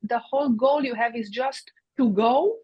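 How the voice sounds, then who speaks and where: Guest: Hungarian-native woman from Romania, 50s, fairly high voice, dry close sound, thoughtful and halting. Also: breathy edge, dry recording, dull audio. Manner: reflective, many fillers and restarts. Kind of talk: conversational interview answers.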